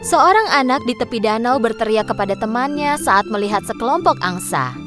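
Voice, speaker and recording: A woman narrating a children's cartoon story in a lively, expressive way. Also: a little high, a little fast. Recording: narration over loud background music.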